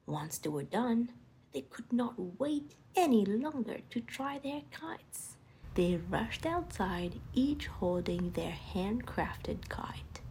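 Female bedtime storyteller: gentle, unhurried, creaky, faint background noise. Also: on the high side, big pitch swings. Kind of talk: scripted bedtime story narration for children.

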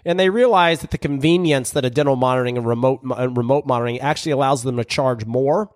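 A man hosting a podcast in a casual, energetic monologue, his voice still a little raspy and hoarse from recent voice loss. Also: mid-range voice, fast, no room sound, clean studio sound. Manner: energetic, conversational, frequent restarts. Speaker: podcast host.

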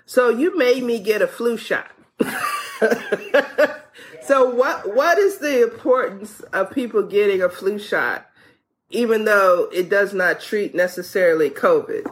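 A woman's voice: medium pitch, wildly swooping pitch, slow, a little hiss or hum. A woman podiatrist, a guest on a radio call-in show, chatting and asking questions with frequent restarts.

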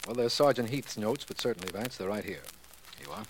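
An actor playing a courteous, businesslike district attorney in formal 1940s radio style. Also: male voice, on the low side, animated, quick pace, background noise, lightly acted. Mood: courteous, reassuring. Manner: formal, crisp narration and dialogue.

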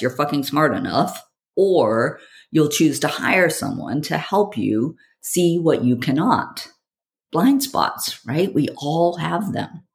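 A woman hosting a podcast, delivering a scripted monologue in a theatrical, provocative way with deliberate phrasing. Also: extremely low pitch. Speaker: podcast host.

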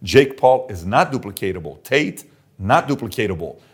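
A man's voice: on the low side, very animated, moderate pace, husky. A middle-aged man from Iran, an entrepreneur being interviewed, working through figures aloud and stressing points with "okay?". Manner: works through numbers aloud, emphatic, repeats "okay?". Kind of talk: animated business interview talk.

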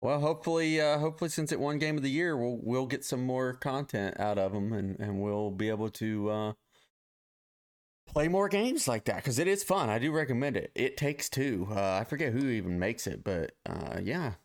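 A man's voice: mid-range voice, moderate pace. A man co-hosting a live-streamed podcast, chatting casually and joking, rambling and stumbling over his words. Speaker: podcast co-host. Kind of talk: casual chat.

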